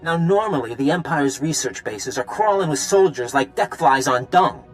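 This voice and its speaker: High-voiced, spirited resistance fighter, acted by a man. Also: animated, normal speed, soft background music, close to an everyday voice. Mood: excited briefing. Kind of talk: video game voice acting.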